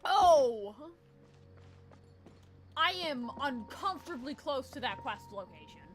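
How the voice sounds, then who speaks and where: A woman's voice: high-pitched, animated, slow pace. A young woman giving casual, slangy, sweary let's play commentary with an amused manner. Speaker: game streamer. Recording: game music behind voice.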